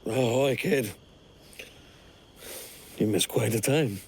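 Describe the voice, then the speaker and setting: A man's voice: mid-range voice, takes it slowly, a little mellow, slight background noise, close to an everyday voice. Man playing a brash pirate captain. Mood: breezy, confident. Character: brash, self-assured pirate captain in his prime. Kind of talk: video game cutscene acting.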